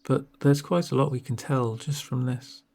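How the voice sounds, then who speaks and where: A man speaking softly in an ASMR roleplay as a doctor, with a gentle, reassuring, unhurried manner and a breathy voice. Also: mid-range voice, fairly even intonation. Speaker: ASMR performer playing a doctor. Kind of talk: soft-spoken ASMR roleplay.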